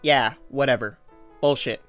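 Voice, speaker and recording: A man's voice: medium pitch, unhurried, fairly bright tone. A man reading scripted second-person story narration as the narrator of a fan drama. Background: music.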